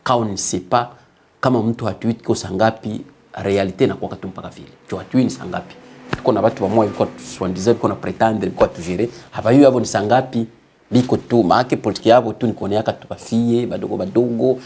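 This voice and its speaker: A man's voice: on the low side, steady tone, fast talker, dark tone, a little hiss or hum. A man hosting an online commentary show, hesitating often with fillers and mixing French with Swahili, growing heated when indignant. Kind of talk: political commentary monologue.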